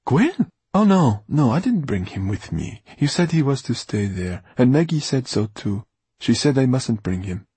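A man's voice: fairly low pitch, normal speed, gravelly, dark tone, husky, no echo, voice pushed up, heavily acted. A man voicing an eager, touchy teenage boy with a defensive, hesitant manner in an audiobook. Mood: feigned surprise. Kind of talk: audiobook character dialogue.

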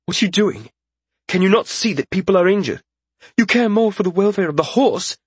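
A man's voice: high voice, expressive, fairly quick, breathy edge, no echo, pitched above the performer's own voice. A man voicing a haughty young lord in a fantasy audiobook, with a formal, scolding and fretful manner. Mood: indignant. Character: indignant, haughty young lord, male, quick to accuse. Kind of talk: audiobook fantasy character acting.

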